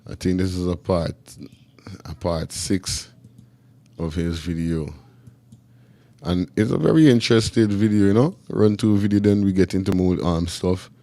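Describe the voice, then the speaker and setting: A man's voice: low-pitched, a little slow, long pauses, mellow, dry recording. A man with a Guyanese accent hosting a casual live stream, talking in repetitive, slangy street talk. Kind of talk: casual live chat commentary.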